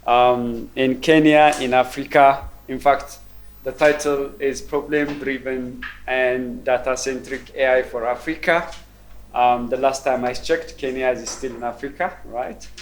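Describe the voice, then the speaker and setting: Man in his forties, mid-pitched, measured keynote delivery at a university roundtable. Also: steady tone, slight background noise. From Ethiopia. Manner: measured, thoughtful, frequent ums and repeated words. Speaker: keynote speaker. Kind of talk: conference presentation. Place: university roundtable event room.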